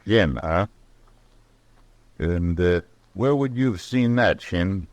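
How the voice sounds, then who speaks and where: Gruff low-voiced saloon barkeep. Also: male voice, animated, slow pace, a little mellow, no room sound. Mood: dry, stubborn. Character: gruff, stubborn, mean-tempered barkeep, dryly unafraid. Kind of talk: 1950s Western radio drama acting.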